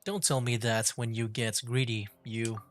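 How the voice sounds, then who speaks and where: A man's voice: mid-range voice, little pitch movement, normal speed, background noise. A man streaming a video game, giving casual, offhand commentary. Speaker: streamer, gamer.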